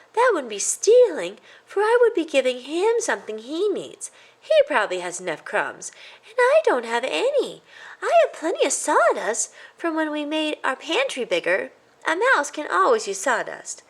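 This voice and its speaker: A woman's voice: high voice, pitched above the performer's own voice, exaggerated voice. A woman voicing a young boy mouse in a children's storybook, a sly, childlike schemer who sounds eager and self-justifying.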